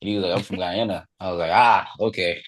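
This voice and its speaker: A man on a podcast talking casually in short, low-key remarks. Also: steady tone, fast talker, a bit bright. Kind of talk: casual chat.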